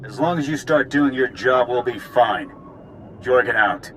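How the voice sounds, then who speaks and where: An actor playing an authoritative military commander in a video game, brisk and no-nonsense. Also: male voice, mid-range voice, a bit bright, a little hiss or hum, natural voice. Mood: commanding. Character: strict, authoritative male military commander issuing orders. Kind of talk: video game cutscene acting.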